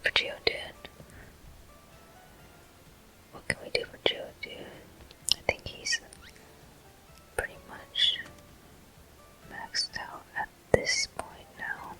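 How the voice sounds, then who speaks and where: A gaming host giving gentle, soothing ASMR commentary in an unhurried, breathy, unvoiced whisper. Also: soft background music, rough recording.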